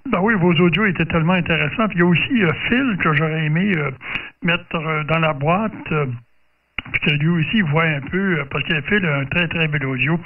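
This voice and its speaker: A man with a Quebec French accent chatting casually as he runs an amateur radio contact, hesitant and rambling, often repeating himself. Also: on the high side. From Quebec, Canada. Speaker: amateur radio operator running a group contact. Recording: muffled distant lo-fi radio audio.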